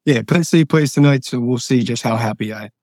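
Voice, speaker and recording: A man from Pennsylvania with a hoarse voice, chatting enthusiastically as a guest on a local show. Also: medium pitch, brisk, a little mellow, dry recording, studio quality. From Lancaster County, Pennsylvania. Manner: friendly, enthusiastic, filler-heavy. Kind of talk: casual interview chat.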